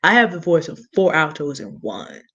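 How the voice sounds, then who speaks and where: A woman with a big, low contralto voice, talking through a point deliberately and earnestly as a panelist in an online discussion. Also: big pitch swings, sharp tone, slightly rough, highs cut off. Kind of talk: measured explanatory talk.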